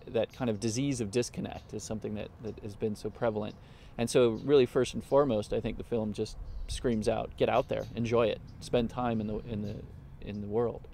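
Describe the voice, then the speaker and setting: A middle-aged man talking as a professor in a conversational review, earnest and halting, often repeating words as he forms his thought. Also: lowish voice, creaky, darkish tone, slight background noise. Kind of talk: conversational interview, reflective review.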